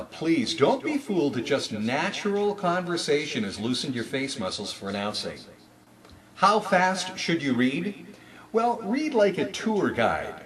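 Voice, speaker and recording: A man presenting a scripted instructional guide in an emphatic, persuasive and carefully enunciated way. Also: medium pitch. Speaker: presenter of a retail announcing guide.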